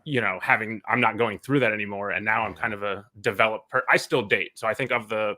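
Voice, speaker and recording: A man's voice: on the low side, fairly even intonation, pushed and bright. A man in his thirties talking earnestly on a podcast, swearing and filling with "like". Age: 30s. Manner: fast, earnest and profane, with many fillers. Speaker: podcast co-host. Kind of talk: casual podcast chat.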